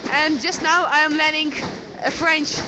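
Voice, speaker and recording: A high-pitched young woman with a Ukrainian accent talks into her phone outside in the cold. She speaks English slowly, searching for words. Her voice comes through a muffled, lo-fi stream, and loud outdoor noise rumbles behind her. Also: little pitch movement. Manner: halting, searching for words, grateful. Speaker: live streamer. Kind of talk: live street stream, reading comments.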